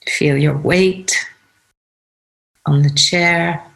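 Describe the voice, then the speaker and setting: A woman leading a guided meditation, giving gentle instructions in short phrases with pauses. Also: low voice, steady tone, vocal fry, low-quality recording. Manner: unhurried, pausing between short phrases.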